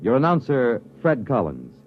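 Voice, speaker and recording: A man's voice: mid-range voice, wildly swooping pitch, moderate pace, faint music behind. A man announcing programme credits in a formal, emphatic 1950s broadcast style. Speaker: radio announcer. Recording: muffled vintage 1950s radio recording.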